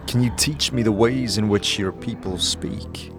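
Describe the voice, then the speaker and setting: A man's voice: fairly low pitch, unhurried, close to an everyday voice. A man playing an earnest, curious wanderer in a fantasy audio drama, speaking directly and asking questions. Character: earnest male wanderer, curious seeker of knowledge. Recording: music behind the voice.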